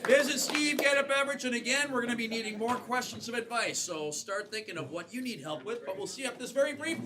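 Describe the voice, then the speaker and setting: A male emcee loudly and cheerfully announcing an intermission at a live comedy show. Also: extremely high pitch, quick pace, background noise. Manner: loud and upbeat, shouting to the room. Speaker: emcee host. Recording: live venue mic with loud crowd and music. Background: music and a loud crowd.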